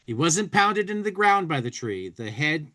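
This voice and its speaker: A man's voice: fairly high pitch, very animated, pushed and bright. A man co-hosting a casual talk show, commenting and reading aloud, often repeating words as he works out a thought. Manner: halting, repeats words while working out a thought. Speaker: podcast co-host.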